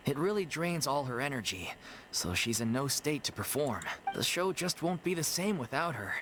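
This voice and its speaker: A man's voice: mid-range voice, sing-song, normal speed, a bit bright, a little hiss or hum, rough recording. A man playing an earnest, downhearted young amateur theater troupe member in an anime video game, polite and hesitant. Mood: downcast. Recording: music behind the voice.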